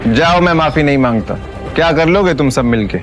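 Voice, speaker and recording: A man's voice: a little high, animated, brisk, over music, close to an everyday voice. A man playing a defiant, swaggering underdog husband in an audio drama, talking with scorn. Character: defiant, cocky underdog husband with secret power. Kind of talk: Hindi audio drama acting.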